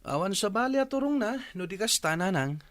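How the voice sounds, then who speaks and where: A man's voice: high-pitched, sing-song, brisk, fairly bright tone. An actor playing a cocky, defiant grown son in a radio drama, a man's voice. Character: cocky, defiant grown child of a scolding mother. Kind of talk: Ilocano radio drama acting.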